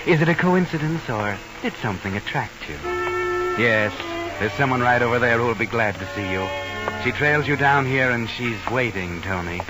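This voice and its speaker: A man's voice: mid-range voice, animated, normal speed, natural voice, low-quality recording. An actor playing a sinister, insinuating narrator in an old radio mystery, slyly goading a character as he addresses him. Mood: eerie, goading. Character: sinister, insinuating inner-voice narrator who taunts the listener. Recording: old radio broadcast with music behind.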